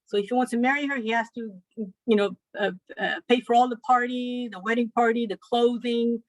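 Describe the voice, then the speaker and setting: A woman from Afghanistan giving an educational presentation as a lecturer, measured and pausing often with ums. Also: on the high side, steady tone, no room sound, rough recording. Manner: measured, with frequent ums and pauses.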